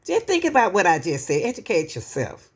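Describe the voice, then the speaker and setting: A woman giving casual opinion commentary as a channel host, slow and preachy, often calling out to "y'all.". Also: fairly low pitch, wildly swooping pitch, slightly rough.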